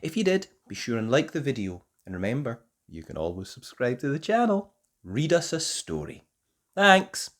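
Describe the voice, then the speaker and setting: A storyteller reading a children's picture book aloud in an expressive way, as host. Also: medium pitch, normal speed.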